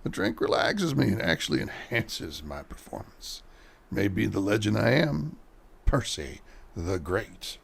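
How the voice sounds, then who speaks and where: Washed-up stage magician for radio drama, male actor: pompous boozy man, lowish gravelly voice, expressive swings. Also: a little slow, full character voice. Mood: boastful, condescending. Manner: pompous, wistful, condescending. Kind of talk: radio mystery play acting.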